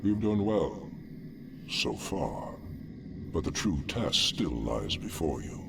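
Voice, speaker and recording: A man's voice: very deep voice, very animated, slow pace, creaky, noisy background, exaggerated voice. A man playing an ancient, scornful demon lord in a video game cinematic, condescending and imperious. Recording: echoey game audio under music.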